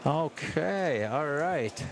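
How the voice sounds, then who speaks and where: An American man hosting a livestream from a sports event in a casual, halting way, pausing often mid-sentence. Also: a little high, lively intonation. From United States. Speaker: livestream host at an ice hockey tournament. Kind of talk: casual live chat. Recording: clear urban ambient noise, live on location.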